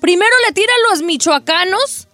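Expressive woman co-host. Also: very high voice, normal speed, no echo, faint music behind. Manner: expressive, mixes in English. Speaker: radio co-host. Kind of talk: casual radio banter.

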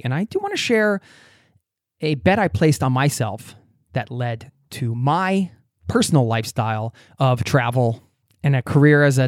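A man hosting a travel podcast in a relaxed, chatty way, talking in a small side room of a restaurant. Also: medium pitch, dry recording, studio quality. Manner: relaxed, chatty, laughs easily. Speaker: podcast host. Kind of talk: casual interview chat.

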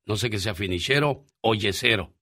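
A man's voice: fairly low pitch, moderate pace, dry recording, clean studio sound. A man with a Mexican accent hosting a radio show, joking and teasing as he reads listener messages. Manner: joking, teasing, animated storyteller. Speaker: radio host. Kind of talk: comic radio banter, reading listener messages.

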